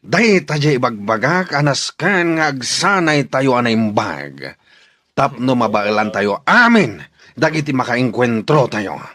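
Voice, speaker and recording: A man's voice: medium pitch, sing-song, takes it slowly, fairly bright tone, exaggerated voice. A man playing a proud, rousing rebel leader in a radio drama, with a declamatory, exhorting delivery. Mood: confident, instructing.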